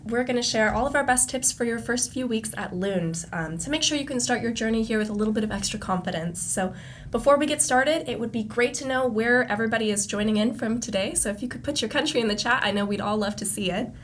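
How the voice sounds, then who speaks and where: A young woman from the USA hosts a live student panel in an upbeat, welcoming way. Her voice sits fairly high. A faint low rumble runs underneath. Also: fast talker. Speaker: host.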